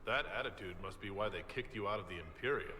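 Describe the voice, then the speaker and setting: An actor voicing a calm, direct leader of an inquisition in a fantasy game, terse and measured. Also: male voice, fairly low pitch, reverberant, slight background noise. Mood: firm, dry. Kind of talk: video game dialogue.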